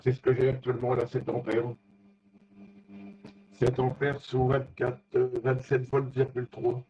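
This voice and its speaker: A man in a casual technical chat as an amateur radio operator, speaking haltingly and often searching for words. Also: medium pitch, dark tone. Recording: dull, lo-fi radio contact audio.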